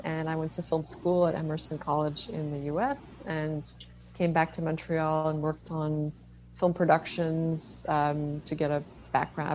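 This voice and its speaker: Woman, low pitch, interview guest, conversational, faint background noise. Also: darkish tone. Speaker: interview guest, writer-director. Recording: noisy line with background ambience.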